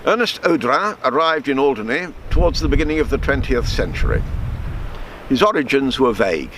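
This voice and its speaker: A man reading his own written story aloud in a measured storytelling manner, with small stumbles and self-corrections. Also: medium pitch, very animated, a bit bright, slight background noise.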